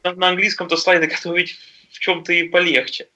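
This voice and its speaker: A man chatting casually on a panel, talking in a halting way with lots of fillers and restarts. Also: high voice, level tone, bright, forceful tone, highs cut off. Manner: hesitant, many fillers and restarts. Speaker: podcast guest, software developer.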